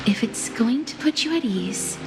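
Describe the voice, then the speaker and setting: A woman voicing a gentle, solemn young noblewoman in a video game, with a measured and formal way of speaking. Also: a little high, animated, natural voice. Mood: solemn, reassuring. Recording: clear music under the voice. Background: background music.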